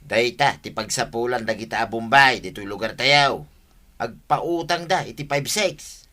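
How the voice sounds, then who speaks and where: A man's voice: medium pitch, measured pace, bright, forceful tone. A man acting a skeptical, hard-working village man in a radio drama, with an Ilocano accent and a blunt, chiding manner. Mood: explaining.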